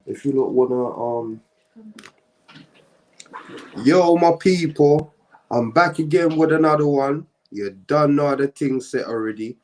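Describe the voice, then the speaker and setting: A man's voice: on the high side, a little slow, pauses often, low-quality recording. A man hosting a live-streamed interview, chatting casually and often tagging his questions with slang. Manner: relaxed, uses slang tags.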